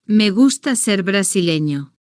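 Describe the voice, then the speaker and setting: Smooth, slow woman narrating for learners. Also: mid-range voice, animated, a little mellow, no echo, clean studio sound. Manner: slow, deliberate, evenly paced short sentences. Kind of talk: slow scripted first-person story narration for beginners.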